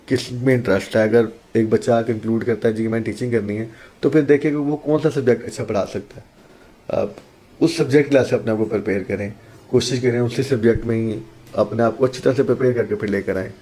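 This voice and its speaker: A man's voice: medium pitch, darkish tone. A man from Pakistan, an accounting teacher, answering interview questions in an earnest, explanatory way and mixing in English phrases.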